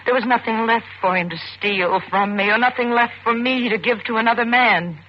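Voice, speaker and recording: An actress playing an embittered young murder suspect with intense, theatrical delivery in an old-time radio suspense drama. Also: female voice, a little high, brisk, natural voice. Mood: bitter, probing. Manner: intense, theatrical, rhetorical questioning. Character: young, beautiful, embittered wife accused of killing her husband. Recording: muffled, noisy vintage radio recording.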